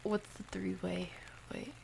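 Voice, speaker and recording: A soft-spoken woman hosting an ASMR livestream, chatting casually and gently, often dropping toward a whisper. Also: mid-range voice, flat delivery, takes it slowly, gravelly, a little hiss or hum. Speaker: livestream host. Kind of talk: ASMR livestream, casual chat reading and answering viewer comments.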